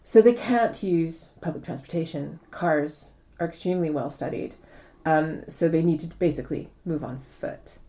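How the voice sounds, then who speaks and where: A woman's voice: sits low, normal speed, hardly any pauses, mellow. A woman author being interviewed, explaining things articulately, step by step.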